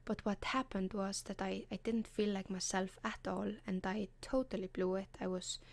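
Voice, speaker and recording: A woman with an Icelandic accent, whispering gentle advice in an ASMR style, often pausing with soft ums. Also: medium pitch, level tone, vocal fry. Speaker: ASMR advice column host.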